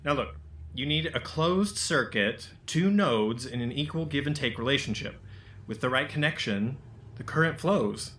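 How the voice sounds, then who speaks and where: An actor playing a calm, patient, lightly teasing home remodeler in a romantic comedy radio play. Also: male voice, medium pitch, normal speed, lightly acted. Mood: reassuring. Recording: echoey room with background noise.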